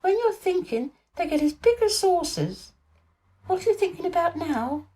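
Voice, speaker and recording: A woman voicing a bright, eager young girl in a storybook, with an animated, wondering and earnest manner. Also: very high-pitched, moderate pace, pitched above the performer's own voice.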